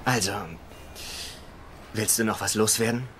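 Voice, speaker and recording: A man's voice: fairly low pitch, fairly even intonation, measured pace, a bit bright, a little hiss or hum. An actor playing a chatty, bemused male hospital patient in a dubbed TV comedy. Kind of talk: German dubbed TV comedy acting.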